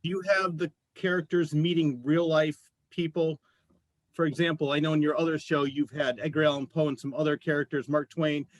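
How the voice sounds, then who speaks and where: Higher-voiced man hosting an interview show. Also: little pitch movement, a little fast, no room sound. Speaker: host of a local artist interview show.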